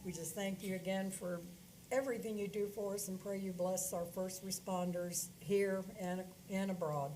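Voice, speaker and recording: A woman's voice: mid-range voice, fairly even intonation, moderate pace, dark tone, low-quality recording. Woman, board member, folksy, plainspoken, slight room hiss. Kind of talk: spoken prayer and casual chat. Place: county government meeting room.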